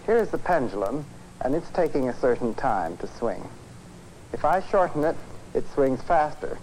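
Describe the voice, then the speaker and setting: A man, a physics professor, presenting an educational film lecture in a measured, conversational, explanatory way. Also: medium pitch, very animated, darkish tone. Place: film studio. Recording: noisy 1950s educational film soundtrack.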